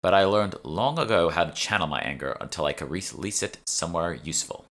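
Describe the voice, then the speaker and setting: A man acts out a brusque female alchemist from an urban fantasy audiobook. She is irritable and sardonic, explaining things curtly with a sharp, forceful edge. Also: low voice, lively intonation, a little fast. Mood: coolly fuming. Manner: curt, sardonic, sweary. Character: brusque, irritable young woman, know-it-all alchemist partner.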